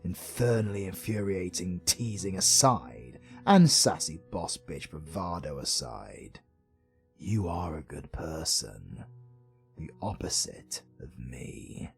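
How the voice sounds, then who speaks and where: Deep gravelly British supervillain, acted by a man. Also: lively intonation, slow, soft background music, heavily acted. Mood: weary, earnest. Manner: dramatic, teasing, sweary, swinging from murmurs to outbursts. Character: brooding, sarcastic, secretly caring male supervillain, flirtatious and world-weary. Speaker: audio roleplay voice actor. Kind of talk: romantic comedy roleplay acting, intimate.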